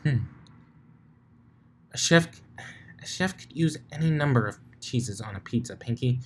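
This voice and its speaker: A man's voice: medium pitch, animated, a little slow, breathy edge. A man voicing a bookish, easily exasperated female unicorn in a storybook reading, explaining things huffily. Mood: pondering.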